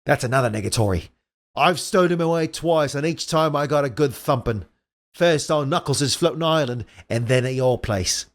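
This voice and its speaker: A man's voice: mid-range voice, normal speed, lightly acted. A man voicing a brash, mocking weasel mercenary with an unconvincing Australian accent that slips into Cockney, as in a comic-book read-aloud. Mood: defiant. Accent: Australian, unconvincing, slipping into Cockney.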